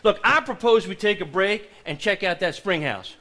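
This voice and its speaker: An actor playing an excitable, treasure-hungry man in a live stage play, agitated and insistent. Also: high-pitched, moderate pace. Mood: eager.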